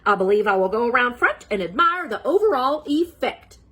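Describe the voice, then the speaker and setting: A woman's voice: a little high, very animated, brisk, bright, forceful tone, pitched above the performer's own voice, heavily acted. A woman voicing an irate, bossy older sister from a children's storybook, indignant and emphatic. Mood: haughty, shocked.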